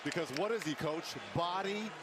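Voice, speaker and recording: A man's voice: high voice, moderate pace. A man giving live basketball analysis as a color commentator. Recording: crowd-drowned noisy arena broadcast. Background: loud crowd, bouncing basketball.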